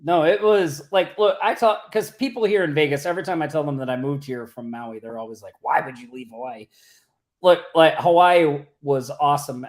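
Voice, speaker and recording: A man from Oklahoma co-hosting a casual livestream chat, talking loosely with many fillers and restarts. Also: medium pitch. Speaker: co-host. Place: home or office in Las Vegas.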